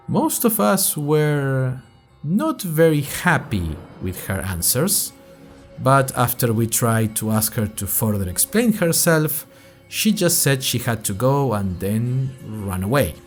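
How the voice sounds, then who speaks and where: A man playing an anxious young college freshman who narrates his podcast in a wry, rambling, self-conscious monologue. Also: medium pitch. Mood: bemused. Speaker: narrator and host of a fiction podcast. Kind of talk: scripted first-person audio drama monologue.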